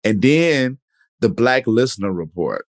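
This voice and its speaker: A man hosting a podcast interview in relaxed, casual chat, rambling with many fillers and restarts. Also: medium pitch, measured pace, dry recording, studio quality. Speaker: podcast host.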